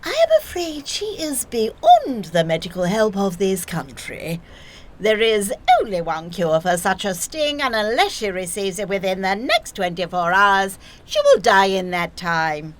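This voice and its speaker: A woman's voice: mid-range voice, sing-song, measured pace. Woman acting an eccentric nurse, wildly swooping voice. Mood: grave, dramatic. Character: eccentric female nurse, breezy about grave news. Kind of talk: audio play acting.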